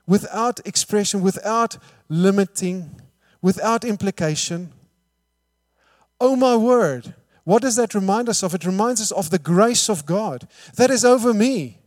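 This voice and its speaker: A man's voice: sits high, no echo, studio quality. Emphatic preacher man. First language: Afrikaans. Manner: emphatic, rhetorical questions, swings from hushed to loud. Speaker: pastor. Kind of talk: sermon.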